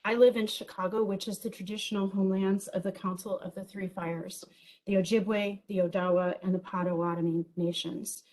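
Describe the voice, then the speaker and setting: A woman with a big voice giving an enthusiastic virtual conference keynote, lively and peppered with ums and small jokes. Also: medium pitch, fairly quick, rough recording. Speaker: keynote speaker.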